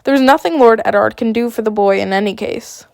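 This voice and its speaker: A wry, sharp-tongued dwarf noble, read by a sick woman, delivering barbs dryly. His voice has a gravelly texture and sits a little high. The recording is close and dry. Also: fairly quick, no room sound.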